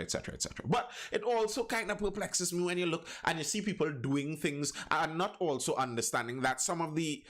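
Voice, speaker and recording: A man's voice: a little high, a bit bright. A Trinidadian man hosting a live stream, chatting loosely and reading out viewer comments with many restarts. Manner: chatty, digressive, restarts sentences. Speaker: live show host.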